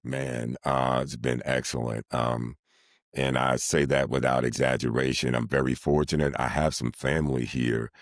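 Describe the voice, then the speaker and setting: A middle-aged man, a sports announcer, speaking in a deliberate, formal and wordy way in an interview. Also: rumbling low, flat delivery, no room sound, clean studio sound. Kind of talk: measured, formal interview talk.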